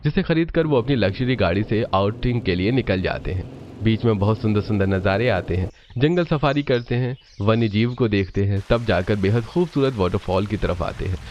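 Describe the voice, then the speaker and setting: A man's voice: on the low side, a little mellow, a little hiss or hum. A man narrating a scripted family cartoon story.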